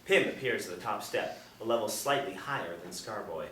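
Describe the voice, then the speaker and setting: A man narrating stage directions at a screenplay table read in measured, dramatic storytelling phrases. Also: fairly low pitch, lively intonation, fairly bright tone. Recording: echoey room, distant, faint noise.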